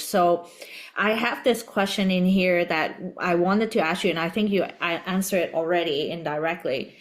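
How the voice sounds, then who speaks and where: A woman from Hong Kong whose native language is Cantonese, speaking English as an interviewer in a reflective conversation. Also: fairly low pitch.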